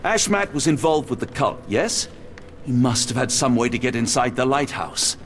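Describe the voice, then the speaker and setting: An actor playing a loyal, steadfast companion in a video game, speaking earnestly and formally with a British accent. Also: male voice, mid-range voice, lively intonation, normal speed, a little hiss or hum, lightly acted. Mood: troubled. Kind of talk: dramatic video game voice acting. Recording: music behind the voice. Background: game music.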